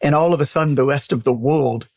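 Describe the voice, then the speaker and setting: A man's voice: on the high side, fast, vocal fry, darkish tone, no room sound. A man speaking candidly and emphatically as an interview guest, telling stories conversationally and swearing freely. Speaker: guest, business owner and author.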